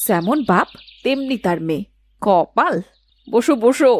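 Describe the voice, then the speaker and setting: A woman's voice: a little high, expressive, unhurried. A woman voicing an exasperated, nagging village mother and wife in a moral-story cartoon, scolding and complaining. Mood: grumbling.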